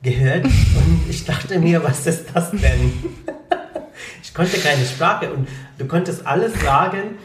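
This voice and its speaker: A man's voice: on the high side, slow, noisy background. A man from Pakistan, a Punjabi speaker, talking as an interview guest. He explains at length, with many hesitations and fillers. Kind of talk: conversational interview. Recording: echoey room.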